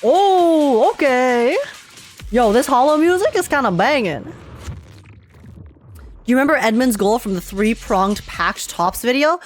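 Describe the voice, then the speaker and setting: A woman giving casual live reaction commentary on a game, giggly and rambling as she thinks out loud. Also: high-pitched, animated, measured pace, pauses often, faint music behind. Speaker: streamer reacting to a game story.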